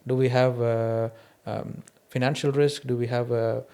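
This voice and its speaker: Man, Indian accent, non-native English speaker, medium pitch, reflective podcast conversation, personal anecdotes. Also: level tone, unhurried, gravelly, warm tone, clear, resonant tone. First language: an Indian mother tongue, not English. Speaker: podcast host.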